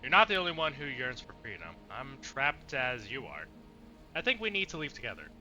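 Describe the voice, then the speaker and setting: A man's voice: medium pitch, huge pitch swings, fairly quick, sharp tone, soft background music, poor audio. A man doing casual let's play commentary, reacting with muttered asides and swearing, and reading dialogue options aloud.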